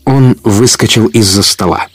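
A man narrating a Russian audio drama as the storyteller of an adventure tale, in a measured manner. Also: lowish voice, no echo, soft background music. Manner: measured, clearly told storytelling.

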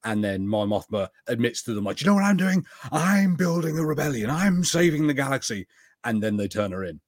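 A man's voice: medium pitch, sing-song, fairly quick, no room sound, clean studio sound. A man co-hosting a podcast, chatting casually about TV and tentatively thinking aloud. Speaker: podcast co-host. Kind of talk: casual TV review chat.

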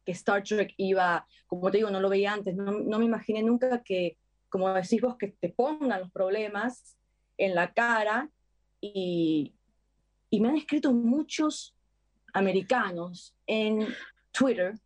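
An actress with an Argentine accent chatting casually in an interview, full of fillers and lively retellings. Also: female voice, medium pitch, measured pace. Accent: Argentine Spanish. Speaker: actress guest.

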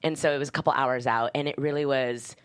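A woman with a low voice talks as a screenwriter guest in an interview. She tells anecdotes in a loose, chatty, informal way, often dropping in "like". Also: fairly even intonation, quick pace, clear, resonant tone. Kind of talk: casual anecdotal interview chat.

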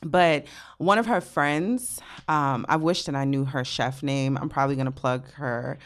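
A woman's voice: extremely low pitch, unhurried. A young adult woman from Brooklyn co-hosting a podcast, chatting casually, laughing often and swearing freely as she tells stories. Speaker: podcast co-host. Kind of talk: casual chat.

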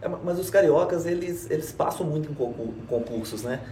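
A man's voice: a little high, brisk, warm tone, reverberant, a little hiss or hum. A man co-hosting a podcast, upbeat as he opens the show and chimes in with short remarks. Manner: upbeat opener, short interjections. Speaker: podcast co-host. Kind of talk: podcast opening and interview chat.

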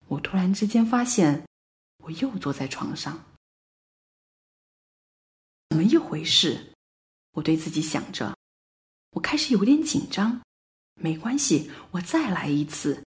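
A woman playing a bewildered Paris resident in a radio play monologue, telling her story deliberately with dramatic swells. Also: mid-range voice, darkish tone. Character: woman living in Paris, bewildered, recounting a looping morning.

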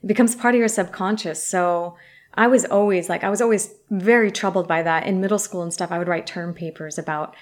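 A woman's voice: on the low side, fairly quick. A woman from San Francisco whose first language was Arabic, talking casually as an interview guest with many fillers. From San Francisco, USA. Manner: chatty, many likes and you-knows.

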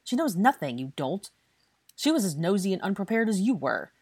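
A woman's voice: mid-range voice, sing-song, normal speed, darkish tone, slightly rough, dry recording, pitched above the performer's own voice. A woman voicing a bitter, angry young man who scolds heatedly in an audiobook fantasy story. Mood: heated, defensive. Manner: heated, scolding, British swearing. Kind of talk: audiobook character dialogue.

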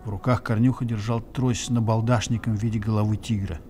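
A man narrates a scripted radio drama. His voice is fairly low and mellow, and he tells the story in a measured literary manner. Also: level tone, a little hiss or hum.

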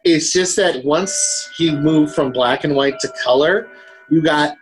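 A man co-hosting a podcast, talking casually about films in a halting, hedging way. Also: fairly high pitch, soft background music, low-quality recording. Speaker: podcast co-host. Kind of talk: casual film discussion.

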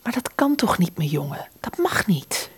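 An actress playing a motherly, bashful middle-aged shopkeeper's wife, gently soothing and breathy, in a radio play. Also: on the low side, very animated, normal speed, full character voice. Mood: tender refusal. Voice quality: breathy, half-whispered.

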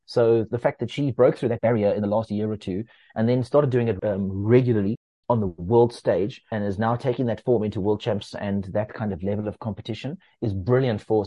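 A man who edits a running magazine, talking as a guest in a relaxed, explanatory way with plenty of fillers. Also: dry recording. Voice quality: bass voice. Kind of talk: casual interview talk.

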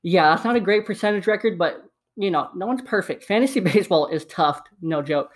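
A man's voice: high-pitched, animated, a little fast. A man in his twenties with a slight lisp hosting a casual solo podcast at home, upbeat and rambling, repeating himself. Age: 20s. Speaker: podcast host. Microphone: USB desktop microphone.

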